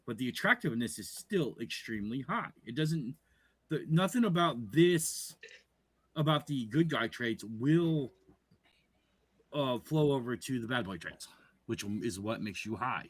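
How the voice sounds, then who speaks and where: A blunt, assertive man with a deep voice holds forth in a loose group discussion. His pitch moves around a lot as he drives his points home. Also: lively intonation, a little slow, husky, dry recording. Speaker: podcast co-host. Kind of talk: casual debate chat.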